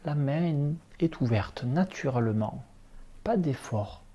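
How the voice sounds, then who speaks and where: Man, relaxation instructor, guided meditation, calm short phrases, very slow pace, mellow medium-pitched voice. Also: dull audio. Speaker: yoga nidra relaxation instructor.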